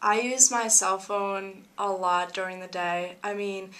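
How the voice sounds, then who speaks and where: A woman's voice: mid-range voice, level tone, measured pace, a bit bright, slight background noise. A nineteen-year-old woman, a college freshman, giving thoughtful, fluent interview answers. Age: teens. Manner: thoughtful, fluent, uses like and I mean.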